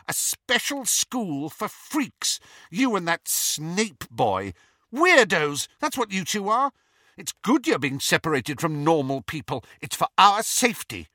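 A man voicing a spiteful, jealous girl who sneers at her sister in a shrill voice. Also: sing-song, unhurried, sharp tone, dry recording, clean studio sound, full character voice.

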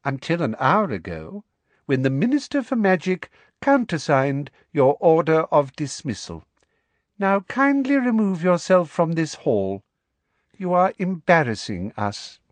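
A man voicing a cruel woman official with a high girlish voice and cold, precise diction. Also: sing-song, unhurried, no echo. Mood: contemptuous. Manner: sweetly cold, precise, gloating.

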